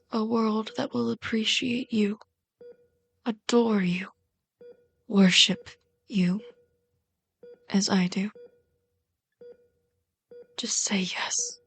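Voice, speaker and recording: A woman's voice: medium pitch, vocal fry, slightly rough, heavily acted. A woman playing an obsessive, lovelorn villain in an ASMR roleplay, bitter and pleading, speaking intimately and dramatically with frequent drops toward a whisper. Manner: slow, intimate, dramatic delivery, often dropping to a whisper.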